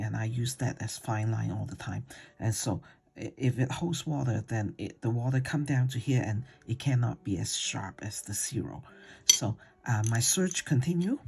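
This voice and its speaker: Art teacher rambling thru a paint-along, mellow husky voice, lots of ums. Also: mid-range voice. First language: Cantonese. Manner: rambling and chatty, with many hesitations and fillers; simple, non-native grammar. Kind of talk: casual painting tutorial talk.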